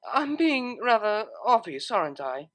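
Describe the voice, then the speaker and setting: A woman's voice: medium pitch, sing-song, unhurried, pitched above the performer's own voice. Woman voicing a prim, refined young mare, lilting. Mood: guilty. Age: young adult. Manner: proper, formal, hesitant.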